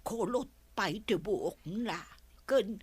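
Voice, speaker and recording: A woman's voice: medium pitch, slow pace, husky, no room sound. A woman acting a gossipy, teasing villager who angles for snacks in an Ilocano radio comedy, speaking with an Ilocano accent.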